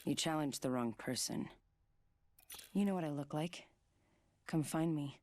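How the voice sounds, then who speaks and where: A woman voicing a cool, stealthy, menacing fighter in a video game, in measured, taunting lines. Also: low-pitched, darkish tone, dry recording. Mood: calm menacing.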